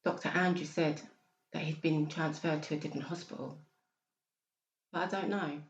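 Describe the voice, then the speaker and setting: A woman's voice: low voice, fairly even intonation, moderate pace, reverberant, muffled recording, slight background noise, natural voice. A woman playing a doubtful, uneasy female character in a horror audiobook. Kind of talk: dramatised horror audiobook dialogue.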